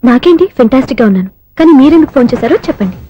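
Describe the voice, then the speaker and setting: A woman's voice: high-pitched, expressive, rapid-fire, muffled recording. A woman playing a teasing, cheeky young woman in an Indian film comedy. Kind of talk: Telugu film comedy acting.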